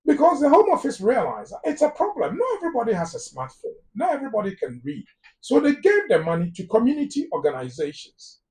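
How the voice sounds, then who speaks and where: A man's voice: very high voice, huge pitch swings, fast, a little mellow, recorded from a distance, low-quality recording. A man with a Ghanaian English accent giving immigration advice on a live phone-in talk show, emphatic and repeating words for stress. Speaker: immigration lawyer guest.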